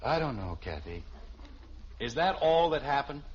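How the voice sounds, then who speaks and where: A man's voice: mid-range voice, very animated, moderate pace, slightly rough. An actor playing an exasperated middle-aged suburban father with an American accent, flustered and wry, in 1950s radio sitcom style. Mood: impatient. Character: exasperated middle-class suburban father, easily put upon. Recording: dull, hissy old radio recording.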